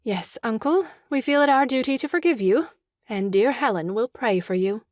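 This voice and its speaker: A woman's voice: fairly high pitch, animated, moderate pace, no room sound, studio quality, close to an everyday voice. A woman playing a slyly sweet, wheedling lady in a stage farce, in a mannered, coaxing way. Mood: sweetly forgiving. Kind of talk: stage farce audio drama acting.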